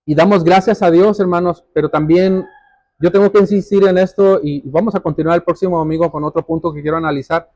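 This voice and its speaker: A man's voice: high-pitched, steady tone, fast, a little mellow, no room sound, lo-fi sound. A man preaching a church sermon, reading scripture and exhorting, repeating phrases for emphasis.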